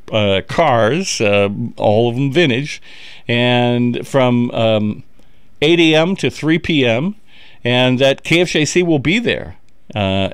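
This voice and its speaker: Slow, halting male radio DJ. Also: medium pitch, clean tone, no echo, studio quality. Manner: rambling, halting, with many fillers and chuckles. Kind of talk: casual on-air announcing. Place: radio studio. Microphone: studio microphone.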